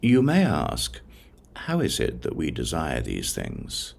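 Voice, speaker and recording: An extremely low-voiced man narrates a sacred text, his voice thick with gravelly fry. He reads slowly and solemnly, setting phrases apart with deliberate pauses in a measured recitation. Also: wildly swooping pitch, slight background noise. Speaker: audiobook narrator. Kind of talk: scripted narration of a religious text.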